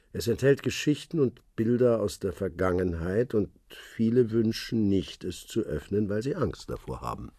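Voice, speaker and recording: An actor playing a cryptic old retired secret-service man who talks in measured hints. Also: sits low, warm tone, husky, no echo, clean studio sound, exaggerated voice. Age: older. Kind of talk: radio play acting.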